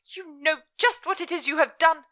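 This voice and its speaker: A woman voicing a furious middle-aged Victorian mother, scolding in a shrill, near-shouting voice. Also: normal speed.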